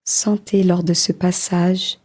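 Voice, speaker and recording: Slow, soft-spoken meditation guide woman. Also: lowish voice, level tone. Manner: slow, soft, soothing delivery with long pauses.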